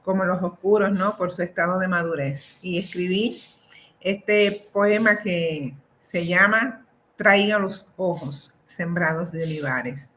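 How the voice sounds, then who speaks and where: Slow, low-voiced middle-aged woman poet. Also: fairly bright tone, a little hiss or hum, low-quality recording. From Dominican Republic. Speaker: poet and professor presenting own work. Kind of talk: poetry reading with informal introductions.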